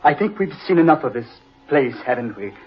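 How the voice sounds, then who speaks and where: An actor playing an impressionable gentleman who senses evil, in a deliberate, dramatic old-time radio horror style. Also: a little high, very animated. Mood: alarmed. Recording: muffled vintage radio recording, music behind.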